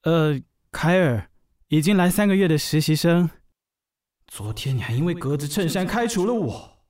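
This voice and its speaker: A man's voice: fairly high pitch, animated, normal speed, a little mellow, no room sound, clean studio sound, close to an everyday voice. An actor voicing an anxious, fussy young male office intern who reassures himself, in an audio drama. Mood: eager, nervous. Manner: anxious, precise, self-reassuring. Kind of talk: audio drama monologue and dialogue.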